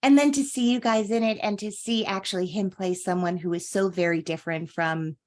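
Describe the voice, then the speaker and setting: A woman's voice: mid-range voice, fairly even intonation, brisk, darkish tone. A woman hosting an interview podcast, chatty and effusive as she introduces her guest and asks questions. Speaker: podcast host.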